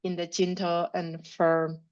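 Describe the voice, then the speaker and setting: A woman moderating a webinar, reading the audience's questions aloud quickly and briskly over a video call. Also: on the low side, little pitch movement, darkish tone, muffled recording.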